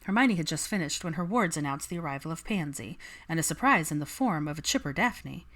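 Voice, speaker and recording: Woman reading fanfic out loud, lowish voice, scripted audiobook narration. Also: expressive, a little fast. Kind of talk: scripted fan fiction narration.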